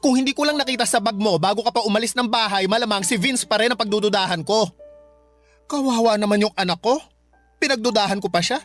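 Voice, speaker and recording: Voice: medium pitch, fast talker, fairly bright tone, close to an everyday voice. With music playing underneath, an actor plays a forgiving, doting Filipino parent in a radio drama. The delivery is coy, campy and full of feeling, with a playful, dramatic flair. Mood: reproachful. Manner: playful, campy, emotional, slang-laden.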